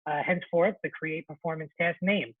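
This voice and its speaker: A man presenting an informal webinar for teachers, chatty and relaxed, often correcting himself mid-sentence. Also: a little high, normal speed, no echo. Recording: thin phone-like webinar audio, dry.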